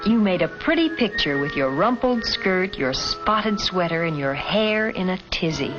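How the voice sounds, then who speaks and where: A woman's voice: on the low side, lively intonation, unhurried. A woman reading scripted narration for a 1950s educational film, addressing the listener directly in an admonishing way. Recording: dull vintage film soundtrack with music. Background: film score music.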